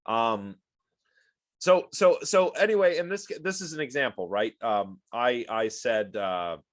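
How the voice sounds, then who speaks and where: A man's voice: mid-range voice, expressive, a little slow. A man giving a casual online lecture on a video call and reacting to the chat. He is sniffly from allergies and rambles with lots of fillers and restarts.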